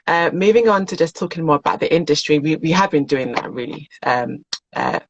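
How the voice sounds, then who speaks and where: Male host, live interview, gravelly, slightly high, supportive, dry muffled sound. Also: lively intonation, fairly quick, no echo. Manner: encouraging, lots of affirming replies.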